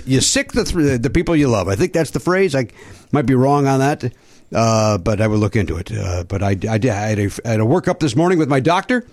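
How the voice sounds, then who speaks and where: A man hosting a casual comedy podcast in a studio, his voice slightly gravelly from illness, teasing and bantering. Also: mid-range voice, a little mellow. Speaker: podcast host.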